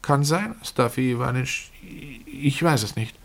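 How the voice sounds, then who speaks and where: A man voicing a meek, pitiful drunkard who pleads and evades in a halting, plaintive way in a radio drama. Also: medium pitch, vocal fry, pitched above the performer's own voice. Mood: defensive, evasive.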